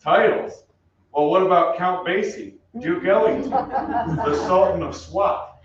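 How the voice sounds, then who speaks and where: A man's voice: high voice, a little slow. A man playing a brash, good-natured American soldier with an American accent, slangy and breezy, in an old-time radio drama. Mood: scrappy. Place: on stage in a theatre. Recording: distant, echoey, muffled stage, faint noise.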